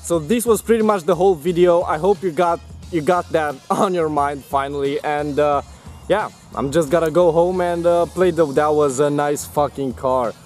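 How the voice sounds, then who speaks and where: A young man from Romania with a Romanian accent, talking casually to camera as a vlogger and rambling with many fillers and restarts. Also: a little high.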